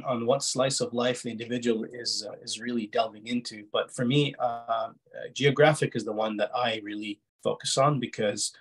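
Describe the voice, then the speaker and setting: A man's voice: mid-range voice, rough recording. A middle-aged man with a slight foreign accent giving reflective interview answers over a video call, explaining himself hesitantly and often rephrasing. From Egypt, Kuwait and Canada. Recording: husky-sounding video call audio.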